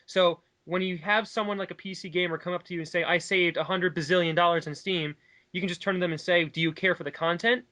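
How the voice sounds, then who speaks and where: A man's voice: high-pitched, fairly even intonation, fast talker, bright, forceful tone. A man in a casual, opinionated discussion, talking at length in an emphatic, argumentative way. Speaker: online commentary co-host.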